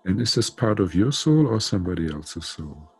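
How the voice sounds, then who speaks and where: A man's voice: sits low, very animated, moderate pace, breathy edge. An older man guiding a spiritual session over a video call on a headset, talking loosely with many fillers. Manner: chatty, warm-toned, many "you know" fillers. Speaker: spiritual healer. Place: home room.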